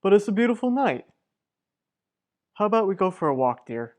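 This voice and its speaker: A woman playing a mild, kindly husband in an old-time radio soap, talking in a measured, affectionate way. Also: medium pitch, sing-song, a little mellow, lightly acted. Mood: kindly, probing. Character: mild, steady, kindly husband devoted to work.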